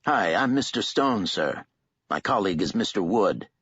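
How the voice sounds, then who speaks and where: A man's voice: lowish voice, very animated, moderate pace, fairly bright tone, husky, no echo, full character voice. A man doing a smarmy, polite "good cop" agent. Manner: syrupy politeness, says sir constantly. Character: smarmy, overly polite male government agent. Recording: dull, lo-fi audiobook recording.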